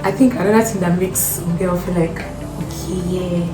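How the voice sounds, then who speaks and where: A young Nigerian woman with a Nigerian accent, hosting a casual chat, outspoken and animated, slipping into Pidgin phrases. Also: on the low side, normal speed. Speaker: vlogger host. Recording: roomy sound with music behind.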